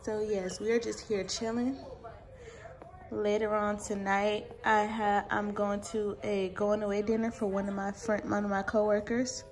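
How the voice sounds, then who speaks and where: A woman's voice: mid-range voice, flat delivery, moderate pace. A woman in her twenties chatting casually as a vlogger into a phone held at arm's length. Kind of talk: casual vlog chat. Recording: clear background noise on phone audio.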